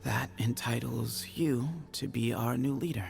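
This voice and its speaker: An actor playing a modest, grieving young temple heir in a video game, speaking sincerely and a little hesitantly. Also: male voice, mid-range voice, fairly even intonation, unhurried, creaky, darkish tone, husky, exaggerated voice. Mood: subdued. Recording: game music under the voice.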